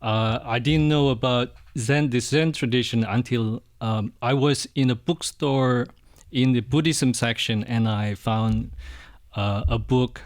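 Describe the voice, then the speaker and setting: A middle-aged man from Indonesia, a Buddhist monk, answering interview questions in a hesitant, soft way with frequent restarts. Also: mid-range voice, fairly even intonation, a little slow.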